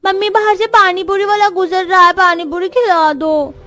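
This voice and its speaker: A woman's voice: very high-pitched, fairly even intonation, moderate pace, pushed and bright, no room sound, studio quality. A woman voicing a pouting, demanding, cheeky young child in a cartoon story. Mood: pleading.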